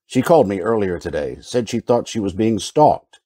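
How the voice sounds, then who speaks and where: Male actor as noir detective narrator, world-weary and wry, deep, relaxed voice, old-time radio drama. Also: moderate pace. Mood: dry, sardonic. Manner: dry, sardonic.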